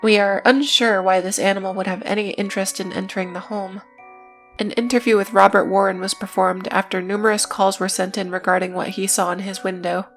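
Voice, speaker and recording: A woman's voice: medium pitch, fairly even intonation, a little fast. A woman narrating a scripted video essay in a matter-of-fact way, with casual, dryly irreverent asides. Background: music.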